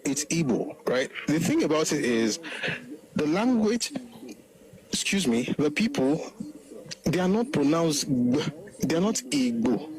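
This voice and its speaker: A man's voice: a little high, sing-song, a little slow, darkish tone, rough recording. A middle-aged man with a Nigerian Yoruba accent giving an opinionated commentary monologue, emphatic and full of asides.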